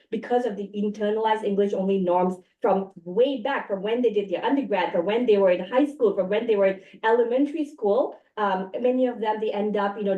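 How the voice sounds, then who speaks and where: A woman's voice: mid-range voice, mellow. A woman in her thirties speaking as a panelist in an academic discussion, thoughtful and full of fillers and restarts. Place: university event room. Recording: echoey room, far from mic.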